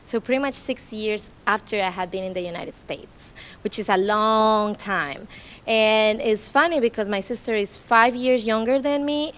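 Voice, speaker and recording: A woman from Colombia with a Colombian Spanish accent speaks at a community event in an informal, storytelling way. She is in her thirties and talks in English as a second language, chatty and animated as she retells conversations. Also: mid-range voice. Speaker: nonprofit staff speaker. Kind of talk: informal public talk.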